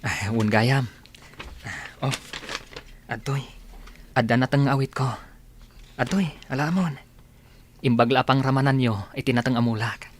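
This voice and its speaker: An actor playing a meek, lovesick young village suitor with a husky voice in a radio drama. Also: male voice, mid-range voice, steady tone, unhurried, long pauses, a little hiss or hum, close to an everyday voice. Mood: eager, friendly. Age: young adult.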